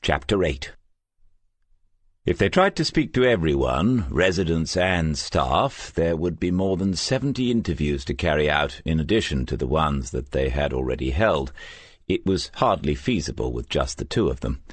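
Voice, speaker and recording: A man narrating a crime novel as an audiobook, with measured, scripted storytelling. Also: very deep voice, big pitch swings, breathy edge, dry recording.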